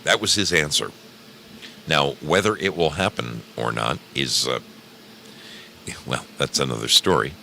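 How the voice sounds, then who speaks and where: A man's voice: very deep voice, a little slow, vocal fry, long pauses, bright, forceful tone, a little hiss or hum. A man hosting an online radio program in a rambling, casual monologue, joking and theatrical, repeating phrases for emphasis. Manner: jokey, theatrical, emphatic repetition. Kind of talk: rambling casual monologue to live stream audience.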